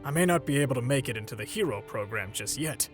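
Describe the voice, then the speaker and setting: A man playing an older superhero mentor in a comic dub, paternal and gently stern, with a theatrical, measured delivery. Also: mid-range voice, lively intonation, music in the background, natural voice. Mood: pointed, reading aloud. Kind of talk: dramatic comic dub voice acting.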